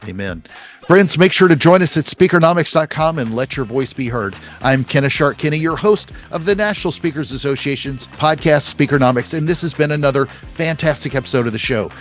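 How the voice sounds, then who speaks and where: A man's voice: on the high side, lively intonation, fairly quick, faint music behind. A man hosting a podcast, summing up advice in an upbeat, emphatic way. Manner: upbeat, emphatic, list-like summarizing. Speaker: podcast host. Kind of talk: podcast interview and recap.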